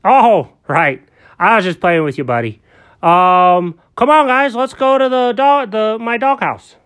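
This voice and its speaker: A woman's voice: medium pitch, unhurried, clean tone, pitched above the performer's own voice, close to an everyday voice. A woman voicing a kindly adult man who patiently explains things to his cat. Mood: playful. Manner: gentle, patient and coaxing. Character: patient kindly adult male pet owner.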